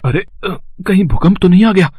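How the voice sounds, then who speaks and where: A man playing an eager, easily flustered young husband with an expressive, exclaiming manner. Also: sits high, moderate pace, a little hiss or hum. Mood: startled.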